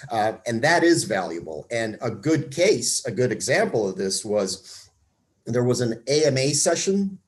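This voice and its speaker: A man hosting an online community meetup over a video call, speaking casually and joking about himself, with frequent fillers. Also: mid-range voice. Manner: relaxed, self-deprecating, many fillers. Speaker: meetup host.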